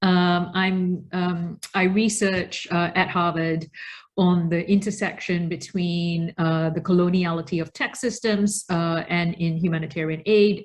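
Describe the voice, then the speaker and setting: A woman from Australia, around her forties, giving a reflective webinar talk as an invited researcher, speaking deliberately and stressing key words. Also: fairly low pitch.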